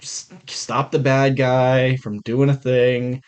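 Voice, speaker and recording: A man's voice: mid-range voice, little pitch movement, slow pace, darkish tone, smooth. Casual male podcaster, blunt and profane. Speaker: podcast co-host. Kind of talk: casual film review chat.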